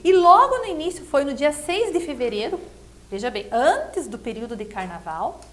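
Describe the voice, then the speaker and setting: A Brazilian woman with a distinctly high voice speaks as a guest commentator. She explains things earnestly and conversationally, often ending a point by seeking agreement. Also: big pitch swings, moderate pace. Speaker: interview guest, political commentator.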